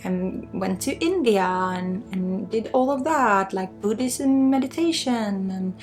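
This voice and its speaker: A woman with a Swedish accent giving unscripted spiritual guidance in an ASMR healing session, earnest and encouraging, with halting phrasing. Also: mid-range voice, lively intonation, a little mellow, soft background music. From Sweden. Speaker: spiritual guide and energy healer.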